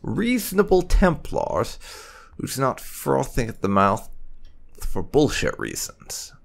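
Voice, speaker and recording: A man's voice: medium pitch, wildly swooping pitch, takes it slowly, gravelly. A man hosting a let's-play, giving casual, musing commentary as he plays. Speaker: gaming channel host.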